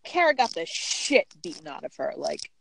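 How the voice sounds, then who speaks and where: A woman's voice: fairly low pitch, sing-song, moderate pace, vocal fry, a bit bright, breathy edge, rough recording. A woman chatting casually on a podcast, speaking emphatically and swearing for effect. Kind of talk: casual chat.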